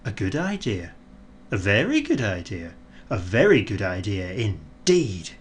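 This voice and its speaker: A man narrating a children's storybook in an expressive, deliberate way, drawing out words for emphasis. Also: lowish voice, slight background noise. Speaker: audiobook narrator.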